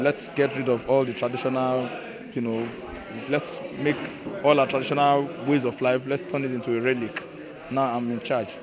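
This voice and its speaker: Man, Nigerian accent, mid-pitched, casual explanatory interview, busy crowd background. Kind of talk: conversational interview. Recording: crowd chatter at a venue.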